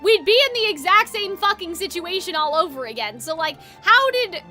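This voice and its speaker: A woman with a very high, bright voice commentates on a game she is streaming. She rambles casually as she thinks aloud to her viewers, with music playing behind her. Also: lively intonation, moderate pace. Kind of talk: casual live gameplay commentary.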